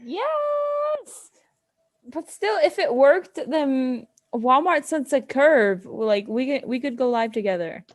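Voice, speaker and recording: A woman's voice: sits high, big pitch swings, slow pace. A teenage woman co-hosting a podcast, rambling in casual chat full of fillers. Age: late teens. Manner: chatty, rambling, many fillers. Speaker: podcast co-host.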